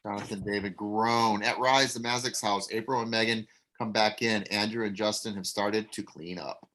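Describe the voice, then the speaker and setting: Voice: mid-range voice, fairly even intonation, moderate pace, fairly bright tone, low-quality recording. A reader plainly reading stage directions aloud for an amateur table read, with small stumbles.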